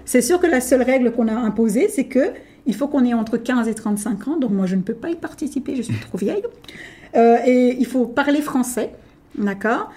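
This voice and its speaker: A middle-aged woman, a native French speaker, talking as a guest about her contest in an emphatic, animated way. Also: a little high, normal speed. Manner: emphatic, animated, addresses listener directly. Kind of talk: casual interview talk.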